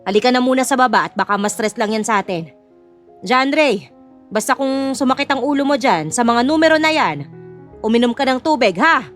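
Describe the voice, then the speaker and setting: A woman's voice: fairly high pitch, lively intonation, brisk, fairly bright tone, soft background music. A woman playing a scolding but caring middle-aged mother in an audio drama, with a nagging, motherly manner. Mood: concerned.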